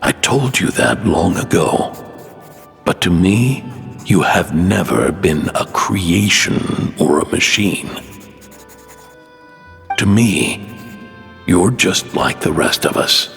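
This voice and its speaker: Deep, gravelly, gentle inventor father. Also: lively intonation, soft background music, heavily acted. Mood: reflective, loving. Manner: measured, tender, reflective. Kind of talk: video game character reading letters in voice-over. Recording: long reverb on the voice.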